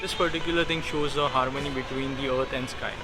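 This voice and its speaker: A man's voice: mid-range voice, steady tone, fast talker, poor audio. A man presenting a travel vlog, explaining sights like a guide, recorded outdoors with music behind him. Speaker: travel vlogger. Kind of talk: travel vlog narration to camera. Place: outdoors at tourist sites.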